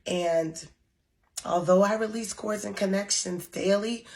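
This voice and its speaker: A woman leading a spiritual coven, talking casually to her followers while she recovers from losing her voice, with many pauses and ums. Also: mid-range voice, fairly even intonation, creaky, darkish tone. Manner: slow, unhurried, with frequent ums. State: recovering from lost voice. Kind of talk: casual spiritual talk to camera.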